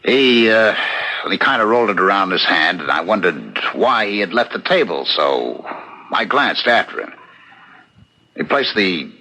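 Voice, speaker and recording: An actor playing a puzzled, loyal friend recalling events in a 1970s radio mystery, hesitant and ruminative. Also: male voice, sits low, very animated, moderate pace, pushed and bright, full character voice, rough recording. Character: puzzled male witness, loyal friend, thinking aloud. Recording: old radio broadcast recording.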